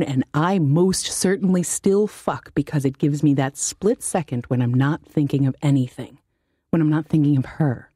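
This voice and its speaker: A woman reading an audiobook monologue as a bitter, heartbroken, blunt first-person narrator with a husky voice. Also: low-pitched, warm tone, dry recording, clean studio sound. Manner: blunt, confessional, brooding. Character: bitter, heartbroken, foul-mouthed narrator, self-loathing and defiant.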